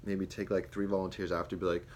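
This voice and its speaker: A young man, a student teacher, reflecting aloud on a lesson he taught, with many pauses and fillers. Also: sits low, little pitch movement. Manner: hesitant, many ums and restarts. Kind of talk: spoken self-reflection. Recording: faint background noise.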